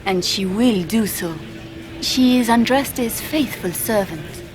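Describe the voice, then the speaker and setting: Excitable high-voiced noblewoman. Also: slow, soft background music, low-quality recording. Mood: polite, earnest. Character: excitable, polite young noblewoman courtier. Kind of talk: fantasy video game acting.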